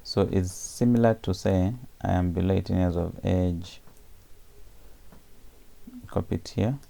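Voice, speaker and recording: A man explaining programming step by step in a screencast tutorial, pausing often with fillers and correcting himself as he goes. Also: low-pitched, creaky, mellow.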